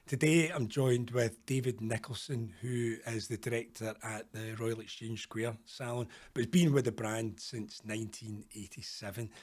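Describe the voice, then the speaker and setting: A man's voice: medium pitch, fairly even intonation, normal speed, hardly any pauses, darkish tone. A man hosting a podcast interview, chatty and friendly, with frequent restarts. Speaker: podcast host. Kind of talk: casual interview.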